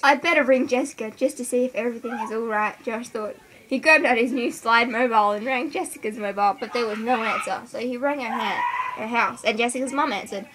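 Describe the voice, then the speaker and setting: A woman's voice: on the high side, brisk. A young woman informally reading a school story aloud to friends in a giggly manner. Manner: giggly, breaks off to banter with listeners. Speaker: story reader. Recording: clearly noisy home recording.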